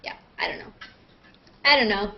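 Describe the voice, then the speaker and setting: A woman's voice: medium pitch, huge pitch swings, moderate pace. A woman chatting casually and playfully as she hosts a live question-and-answer video call. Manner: playful, joking, self-mocking. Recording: muffled, lo-fi internet video call.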